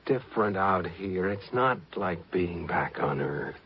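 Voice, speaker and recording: An actor playing a weary, torn space pilot, grave and terse, in a 1950s science fiction radio drama. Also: male voice, low-pitched, animated, a little slow, very noisy. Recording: muffled, husky-sounding 1950s radio recording.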